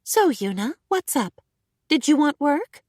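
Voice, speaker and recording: Woman doing a commanding elf guildmaster, high lively voice, slow deliberate delivery, dry sound. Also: no room sound. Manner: commanding, clipped. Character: stern female elf guild master.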